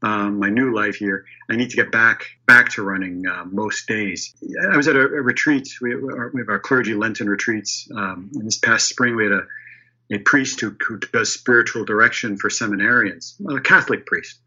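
Clergyman, 50s, bright sharp tone, slightly muffled audio, thoughtful interview answers. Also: lowish voice, moderate pace. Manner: thoughtful, restarts and hedges, laughs lightly. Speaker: primate of a diocese.

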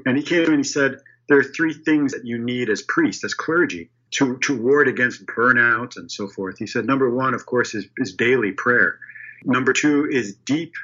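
A man's voice: medium pitch, moderate pace, sharp tone, husky. A man in his fifties, a church leader, answering interview questions thoughtfully, with frequent restarts and light laughs. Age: 50s. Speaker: primate of a diocese. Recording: slightly dull lo-fi audio.

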